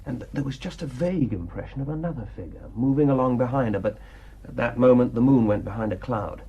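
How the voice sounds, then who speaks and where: A man's voice: mid-range voice, fast talker, breathy edge, dull audio. An actor playing a cynical, defiant male painter in a radio drama, telling his tale wryly and without remorse. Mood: musing.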